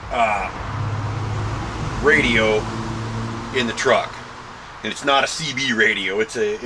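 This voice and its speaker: An American man giving a casual, halting explainer to camera in an informal, thinking-aloud way. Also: medium pitch, background noise. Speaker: vlogger showing a truck modification.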